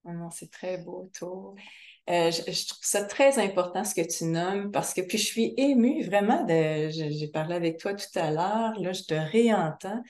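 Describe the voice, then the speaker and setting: A woman's voice: on the low side, normal speed, a little mellow. A woman interviewing a guest, reflective and earnest as she sums up what she has heard. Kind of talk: conversational interview. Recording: echoey room.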